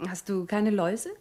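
An actress in a German radio play playing an affectionate, fussing wife and young mother with a husky voice. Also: mid-range voice, warm tone.

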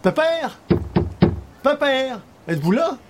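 An actor playing a calm, sensible everyman who takes charge, with a Quebec French accent, in a radio comedy. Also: very high-pitched, takes it slowly, very noisy, heavily acted. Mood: concerned. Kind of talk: old-time radio comedy acting.

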